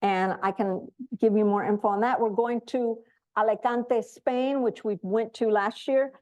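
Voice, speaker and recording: A woman's voice: a little high, fairly even intonation, unhurried, a little mellow. Woman giving informal announcements. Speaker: association speaker on global programs.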